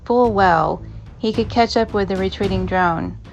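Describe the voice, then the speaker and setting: A woman's voice: medium pitch, measured pace, creaky, muffled recording. A woman reading scripted dramatic narration as the narrator of an audio drama. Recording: music behind the narration. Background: background music.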